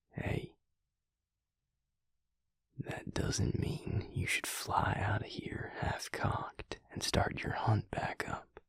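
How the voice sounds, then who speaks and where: A man playing a protective, brooding vampire lover in an intimate audio roleplay, with a husky, breathy voice and a hushed, intense, deliberate delivery. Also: no echo, studio quality. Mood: grave, urgent. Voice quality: husky, breathy, gravelly low voice. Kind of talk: intimate audio roleplay acting, speaking to the listener.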